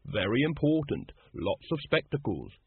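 A pompous pub-philosopher royalist, acted by a male narrator in a comic audiobook, stolid and knowing. He lectures ponderously in a husky voice over a narrow, phone-like recording. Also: medium pitch, sing-song, moderate pace, full character voice.

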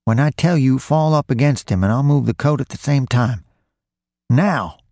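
A hard-boiled pickpocket from a crime story, acted out by a male reader, insistent and slangy. His voice is pitched up from a low, gravelly base, and he pushes every order like a street hustler. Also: expressive, normal speed, warm tone, no echo, studio quality. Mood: urgent, bossy.

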